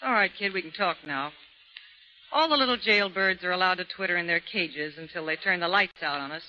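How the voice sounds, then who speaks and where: A woman's voice: lowish voice, a little fast, slight background noise, lightly acted. An actress playing a tough, slangy female convict who shares a cell, in a vintage radio drama. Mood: gruff. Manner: hardboiled slangy, clipped. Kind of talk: 1940s radio acting. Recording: dull lo-fi old radio transcription.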